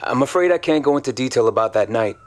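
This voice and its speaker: A man's voice: mid-range voice, lively intonation, fast talker, close to an everyday voice. A man playing a guarded police chief who speaks formally and carefully, with some hesitation, in an audio drama. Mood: guarded, official.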